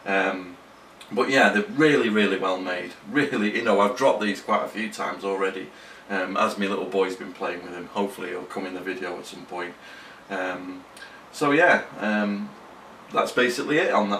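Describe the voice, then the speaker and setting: A man talks through a product review off the cuff. His delivery is halting and conversational, with plain enthusiasm as he explains things. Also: fairly low pitch, fairly even intonation, a bit bright, a little hiss or hum, poor audio. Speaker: radio gear reviewer.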